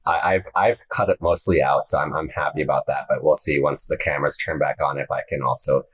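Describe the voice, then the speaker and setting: Low husky-voiced man guest. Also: steady tone, quick pace, fairly bright tone. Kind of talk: casual chat.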